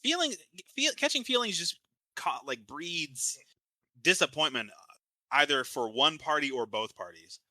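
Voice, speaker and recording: A man's voice: on the high side, very animated, measured pace, sharp tone, dry recording. A young man co-hosting a podcast in casual chat, blunt and argumentative, swearing a lot. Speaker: podcast co-host.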